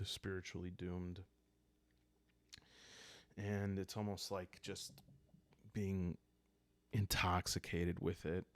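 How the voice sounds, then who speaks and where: A man speaking softly and calmly, improvising a story for a solo roleplaying game and thinking aloud hesitantly. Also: low-pitched, no echo. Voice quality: soft, calm. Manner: hesitant, thinking aloud with long pauses and sighs. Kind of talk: calm unscripted storytelling for sleep, journaling game playthrough.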